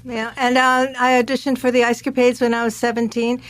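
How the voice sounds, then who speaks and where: A woman in her sixties from Canada, a skating choreographer, telling stories as a talk-show guest with a relaxed, chatty flow. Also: fairly high pitch. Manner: relaxed, chatty, fills pauses with "um". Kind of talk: casual talk-show storytelling.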